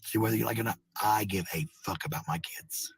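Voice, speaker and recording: Husky, low-creaking man on a combative rant. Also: fast, no room sound. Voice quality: husky, raspy. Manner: combative, profane, repetitive. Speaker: parent speaking in a clip. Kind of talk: angry informal rant.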